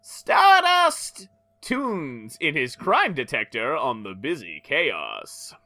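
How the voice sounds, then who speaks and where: A man co-hosting a comedy podcast, reading a comic aloud in a slow, theatrical way between banter. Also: medium pitch, huge pitch swings, bright, forceful tone, dry recording.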